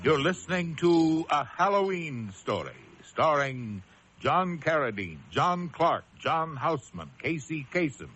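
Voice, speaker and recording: A man giving formal, deliberate scripted announcements for a broadcast radio drama. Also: on the high side, big pitch swings, fairly bright tone. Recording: dull radio recording, faint noise.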